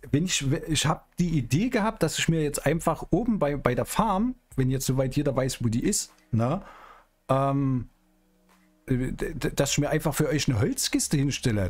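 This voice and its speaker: A man's voice: medium pitch, sing-song, normal speed, a little mellow. A middle-aged man streaming an online roleplay game, chatting casually in character. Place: indoor streaming room, music behind.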